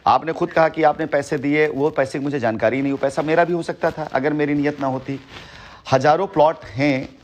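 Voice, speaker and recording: Assertive middle-aged man, forceful phone talk. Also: a little high, fairly even intonation, brisk. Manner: assertive, boastful, repeats himself. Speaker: company head on a phone call. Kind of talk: heated phone conversation.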